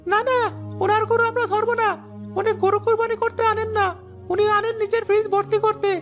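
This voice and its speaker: An outspoken poor villager in a cartoon, voiced by a woman. The voice is extremely high and rattles along quickly with little melody, over faint music. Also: level tone. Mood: indignant. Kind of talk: Bengali moral cartoon dialogue.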